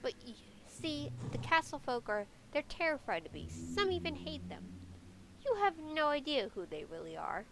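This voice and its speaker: A woman's voice: high voice, very animated, normal speed, noisy background. A woman voicing a wary, world-weary forest witch from a fantasy game, with a sceptical, foreboding manner. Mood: warning.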